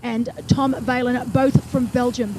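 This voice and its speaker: A woman giving live play-by-play commentary on a triathlon in a brisk, enthusiastic way, with friendly asides. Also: on the high side, steady tone, warm tone, slight background noise. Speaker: race commentator.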